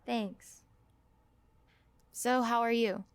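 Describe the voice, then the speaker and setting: A woman playing an earnest, apologetic journalist with a halting manner in a naturalistic audio drama. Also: fairly high pitch, steady tone, clean tone, no room sound. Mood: tender.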